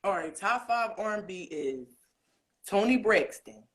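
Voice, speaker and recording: A woman with a deep voice, a guest in a casual interview, talking in an animated, slangy, emphatic way and thinking aloud with fillers. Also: measured pace, slightly rough. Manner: animated, breaks into singing snatches.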